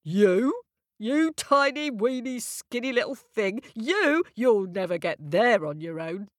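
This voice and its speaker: A woman voicing a grumpy, blustery male north wind who roars and booms gruffly. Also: animated, unhurried, no room sound, clean studio sound, natural voice. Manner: roaring, booming, gruff.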